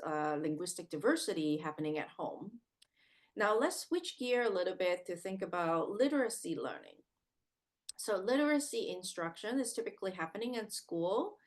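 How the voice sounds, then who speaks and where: A woman giving an academic seminar lecture, speaking in a measured, explanatory way with frequent ums and uhs. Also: on the low side.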